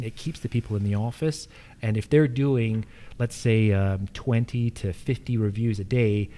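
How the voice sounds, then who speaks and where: An American man answering as an incumbent candidate at a forum, explanatory and matter-of-fact with a halting delivery. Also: medium pitch, mellow. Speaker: political candidate, incumbent official.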